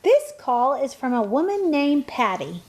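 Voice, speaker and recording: A woman's voice: high-pitched, very animated, unhurried, a little mellow. A woman playing a supportive mother who produces her daughters' podcast in a radio play. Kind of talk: scripted radio play acting.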